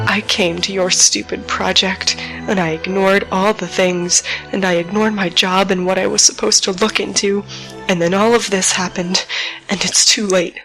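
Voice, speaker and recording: A woman playing a frightened but defiant starship captain in an audio drama, speaking in a halting, emotional monologue. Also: medium pitch, close to an everyday voice, poor audio. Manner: halting, emotional, addressing an unseen foe. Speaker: voice actor in an audio drama podcast. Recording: music behind the voice.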